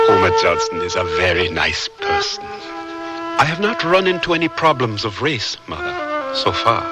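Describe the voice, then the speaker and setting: An actor playing a polite, earnest young African newcomer in a radio drama, speaking in a hesitant, measured way. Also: male voice, medium pitch. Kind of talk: radio drama letter narration. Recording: music behind the voice.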